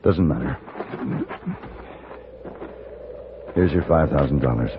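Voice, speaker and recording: A man's voice: extremely low pitch, quick pace. An American man playing a cool, taunting, unafraid Western stranger in an old-time radio drama. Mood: subdued. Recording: tinny noisy old radio recording.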